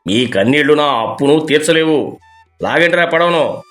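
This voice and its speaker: A man playing a cruel village president and moneylender, taunting and commanding, in an animated moral story. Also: on the high side, moderate pace, soft background music. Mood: cold, commanding.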